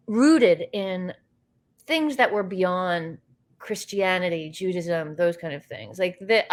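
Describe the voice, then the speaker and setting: A woman from the United States, a guest in a casual interview, telling animated, rambling stories with many fillers and restarts. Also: fairly low pitch. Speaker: interview guest, actress and filmmaker.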